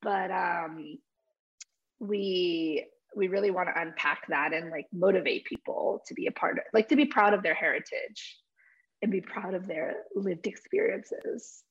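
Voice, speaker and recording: A young woman co-host from New Jersey chats loosely about her upbringing, leaning on "like" as she thinks aloud. Her voice sits a little low with a breathy, husky grain. The recording is dull and lo-fi. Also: lively intonation, a little slow. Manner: conversational, many "like" fillers. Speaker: podcast co-host. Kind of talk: casual podcast chat. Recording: dull lo-fi podcast audio.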